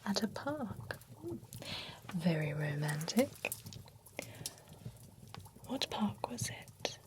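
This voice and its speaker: A woman's voice: fairly low pitch, big pitch swings, extremely slow, noisy background. A woman whispering in a chatty, playful way as a pampering spa stylist in an ASMR roleplay. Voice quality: mostly unvoiced whisper.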